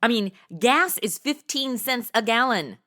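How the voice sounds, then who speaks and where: A woman's voice: fairly high pitch, animated, a little slow, sharp tone. A woman playing a brash, flippant undercover gal in a comedy audio drama, with casual, exaggerated wisecracking delivery.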